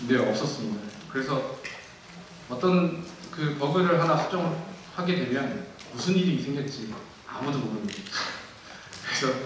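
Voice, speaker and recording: A young man giving a technical keynote at a conference, speaking in a measured way with frequent fillers and restarts. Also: mid-range voice, background noise. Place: large dark auditorium. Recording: echoey hall from far off.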